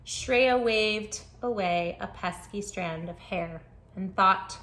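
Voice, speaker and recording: A woman's voice: medium pitch, slow, a bit bright, rough recording. A woman hosting a children's storytime, reading aloud expressively with dramatic emphasis and speaking directly to young listeners. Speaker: children's book read-aloud host. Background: music.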